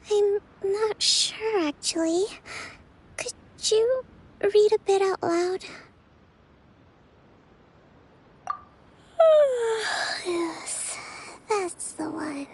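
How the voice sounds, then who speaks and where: A woman voicing a gentle, soft-spoken young woman in an anime video game. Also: very high-pitched, extremely slow, long pauses, heavily acted. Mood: sleepy, hesitant.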